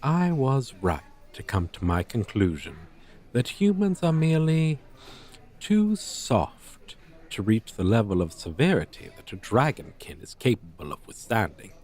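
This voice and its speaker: A haughty dragon teacher, acted out by a man, holds forth in a theatrical roleplay. The delivery is slow and stately, with pompous, over-formal wording. The pitch swings expressively as the proud creature scorns lowly humans. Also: huge pitch swings, a little mellow. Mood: dismissive, haughty. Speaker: roleplay voice performer. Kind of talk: ASMR roleplay monologue, theatrical.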